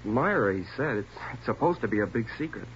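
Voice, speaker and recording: An actor playing a frightened twenty-year-old burglary suspect in a 1940s radio drama, pleading, evasive and stammering. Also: male voice, mid-range voice, expressive, fast talker, natural voice. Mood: nervous confessing. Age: young adult. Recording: noisy, muffled 1940s radio recording.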